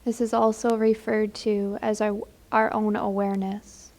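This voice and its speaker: A woman leading a guided meditation in a gentle, soothing, unhurried manner. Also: mid-range voice, flat delivery.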